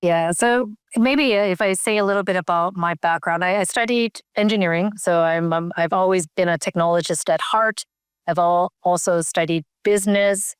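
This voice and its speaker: A woman's voice: on the low side, moderate pace, clear, resonant tone, no room sound, studio quality. A woman from Canada, a company CEO, answering interview questions over a remote call, thoughtful with many fillers and restarts.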